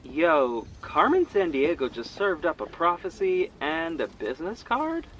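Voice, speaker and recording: An actor playing a friendly young shopkeeper and amateur sleuth who jokes around and gets easily flustered. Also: male voice, a little high, unhurried, lo-fi sound, a little hiss or hum, heavily acted. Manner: lively, joking, easily flustered.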